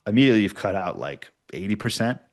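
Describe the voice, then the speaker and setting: A man's voice: lowish voice, very animated, quick pace. A man giving casual, explanatory interview answers, often checking in with short tags like "right?". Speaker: podcast producer, interview guest.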